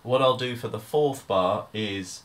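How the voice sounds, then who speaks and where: Male tutor, lower register, informal step-by-step software walkthrough with a hesitant, thinking-as-he-goes delivery. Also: a little slow. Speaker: music tutor.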